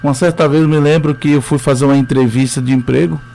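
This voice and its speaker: Earnest Northeastern Brazilian teacher. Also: male voice, on the high side, steady tone, quick pace, gravelly, dark tone, a little hiss or hum. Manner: hesitant, many fillers, earnest. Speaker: history teacher, interview guest. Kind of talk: radio interview, conversational storytelling.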